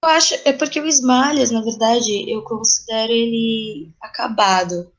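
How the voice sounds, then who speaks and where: A woman's voice: a little high, normal speed. A woman with a Brazilian accent speaking reflectively as an interview guest about creative work, thoughtful and searching for words as she goes.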